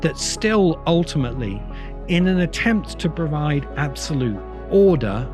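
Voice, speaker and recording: A man delivering a reflective, semi-improvised spoken essay as a host, with deliberate, halting phrasing and emphatic repeats. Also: mid-range voice. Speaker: host of a science fiction video essay podcast.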